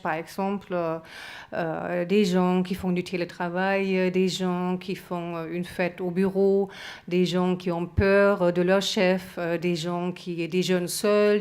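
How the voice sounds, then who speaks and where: A woman with a German accent speaks at a conference with an unhurried pace. Her voice sits a bit low. She often stops on fillers to find her words. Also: little pitch movement, a little mellow. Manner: hesitant, many fillers. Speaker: association president and magazine photo editor. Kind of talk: conference talk. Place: conference room.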